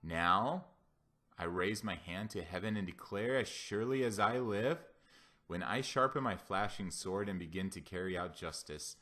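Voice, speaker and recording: A man's voice: deep voice, animated, moderate pace, sharp tone, faint music behind. A man voicing a mighty, wrathful God in a scripture reading, commanding and declamatory as he proclaims vengeance.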